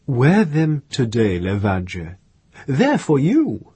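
A kindly, bustling homely woman from a storybook, as a male narrator puts her on. The voice lifts high and swoops about with a husky edge, chatty and generous. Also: wildly swooping pitch, slow pace, dark tone, no room sound, exaggerated voice. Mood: proud, generous.

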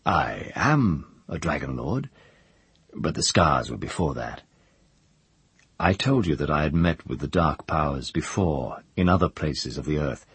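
A man voicing a grave, calm captive wizard in an audiobook, speaking quietly and gently. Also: very deep voice, unhurried, husky, no echo, heavily acted. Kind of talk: audiobook character reading.

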